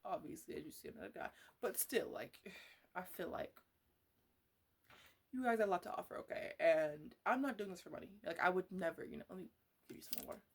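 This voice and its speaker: A woman playing an eccentric, defensive botanist in an ASMR roleplay, rambling haltingly and repeating herself as she fusses over her plants. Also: on the low side, expressive, vocal fry, breathy edge, heavily acted. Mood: defensive, coaxing. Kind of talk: ASMR roleplay, casual improvised chatter to plants.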